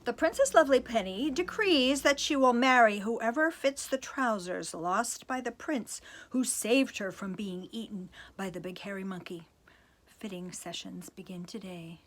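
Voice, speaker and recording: A woman's voice: medium pitch, unhurried, close to an everyday voice. A woman voicing a royal herald who declaims a proclamation in a grand, formal manner. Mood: pompous.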